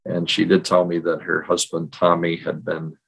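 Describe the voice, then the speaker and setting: A middle-aged or older man co-hosting a podcast, talking casually in a measured, reflective way. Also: sits low, monotone, vocal fry, fairly bright tone, breathy edge, rough recording. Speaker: podcast co-host. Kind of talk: casual conversational podcast talk, personal storytelling. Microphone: video call.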